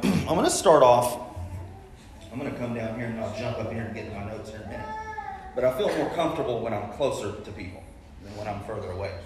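A young man giving a casual, rambling testimony as a guest preacher, restarting his sentences and joking at his own expense. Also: medium pitch. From Arkansas. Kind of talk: testimony sermon to a congregation. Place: large church sanctuary. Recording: very echoey hall, distant mic, faint room noise.

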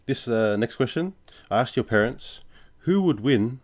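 A man hosting a casual family quiz podcast, asking questions in an unhurried way with fillers. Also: mid-range voice, lively intonation, darkish tone.

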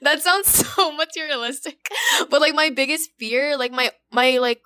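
A young woman with a slightly raspy voice hosting a solo podcast, rambling and self-deprecating with lots of fillers and giggles, recorded on a phone. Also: high-pitched, normal speed, bright, forceful tone, dry recording. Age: young adult. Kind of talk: casual solo chat. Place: at home, alone. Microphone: phone wrapped in a sock.

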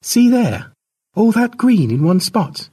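A man's voice: high-pitched, very animated, slow, dark tone, breathy edge, pitched above the performer's own voice, full character voice. A man voicing a protective, motherly woman of a primitive clan in a storybook narration. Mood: reassuring.

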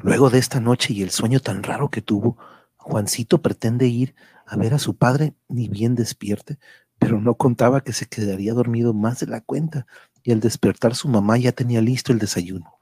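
A man with a husky voice narrating a scripted radio drama as a storyteller. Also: mid-range voice, moderate pace, dark tone, no room sound.

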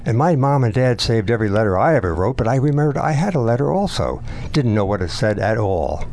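A man in his nineties, a war veteran, recalling memories in an interview in a halting, reflective way. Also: medium pitch, very animated, darkish tone. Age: 90s.

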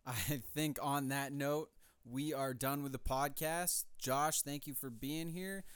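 A man hosting a podcast, giving a brisk sign-off that sounds scripted. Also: medium pitch, fairly even intonation, clear, resonant tone, no room sound. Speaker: podcast host. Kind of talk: show sign-off.

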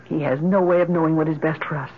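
A woman's voice: deep voice, steady tone, fast talker. A woman playing a desperate, grief-obsessed mother in an old-time radio drama, with a theatrical, imploring delivery. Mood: fixed, determined. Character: desperate, grief-obsessed mother pleading for her dead child. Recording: noisy, dull old radio broadcast recording.

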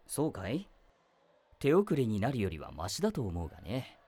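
A man playing a meddling male teacher in a voice drama, who speaks in a wry, gently probing, lecturing way. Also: fairly low pitch, wildly swooping pitch, normal speed, husky, heavily acted. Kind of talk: voice drama acting.

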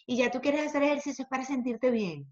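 A woman host, enthusiastic and quick to agree. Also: sits high, animated. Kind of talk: casual interview chat. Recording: slightly dull sound.

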